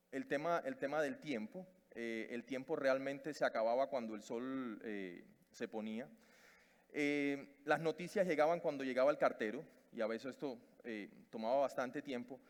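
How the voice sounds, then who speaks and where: A man from Cuba with a Cuban Spanish accent, giving a conference talk, hesitant with many fillers and restarts. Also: mid-range voice. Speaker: conference speaker. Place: conference stage in a large hall. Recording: long reverb of a large hall.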